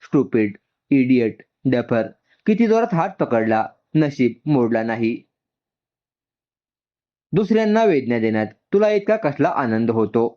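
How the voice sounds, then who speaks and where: A man's voice: mid-range voice, big pitch swings, unhurried. A man voicing a feisty, resentful young woman in a told story, grumbling and scolding. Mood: angry muttering. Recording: dull, muffled lo-fi sound.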